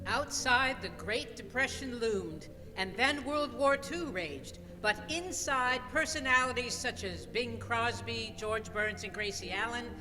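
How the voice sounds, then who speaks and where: A woman delivering scripted narration in an old-time radio style, theatrical and measured. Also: a little high, expressive, bright, forceful tone. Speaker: radio play narrator and announcer. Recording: live hall, long reverb, music behind. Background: live organ music.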